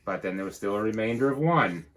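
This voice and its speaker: Male storybook reader, teacher-like, rhythmic, highly animated intonation. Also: quick pace. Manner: rhythmic rhyme reading, dropping into quiet explanatory asides. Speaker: teacher reading a picture book aloud. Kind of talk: animated read-aloud storytelling with asides.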